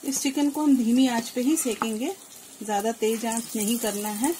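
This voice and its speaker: High-voiced woman teaching a recipe. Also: moderate pace. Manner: instructive, mixes in English words. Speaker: home cook presenting her recipe channel. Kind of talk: step-by-step cooking tutorial voice-over. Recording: clear kitchen noise, frying sizzle. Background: sizzling food and stirring.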